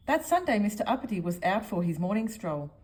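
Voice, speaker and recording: Female storyteller for a children's picture book, expressive, highly varied intonation, speaks straight to young listeners. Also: fairly quick.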